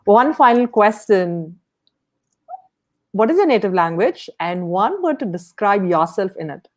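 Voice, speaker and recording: A woman's voice: mid-range voice, big pitch swings, measured pace. A woman hosting a podcast, asking questions conversationally in an affirming, encouraging way. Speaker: podcast host, interviewer.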